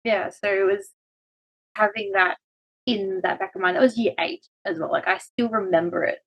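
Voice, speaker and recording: A woman's voice: medium pitch, moderate pace, a bit bright, husky, rough recording. A woman with an Australian accent, a guest on a podcast, telling personal stories in an earnest, open way. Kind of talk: casual chat.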